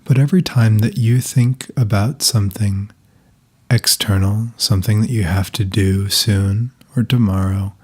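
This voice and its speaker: Slow, husky-voiced man guiding meditation. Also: on the low side. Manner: soft, calm, unhurried instruction with long pauses. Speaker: meditation guide. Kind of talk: guided meditation.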